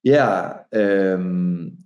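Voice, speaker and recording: A Danish man in his forties talks through his story as an interview guest. His voice sits low and gravelly. He restarts and repeats words while he gathers his thoughts. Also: drawn-out words, a little mellow, lo-fi sound. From Denmark. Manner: hesitant, repeats words as he thinks. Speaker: guest, author. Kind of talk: conversational interview.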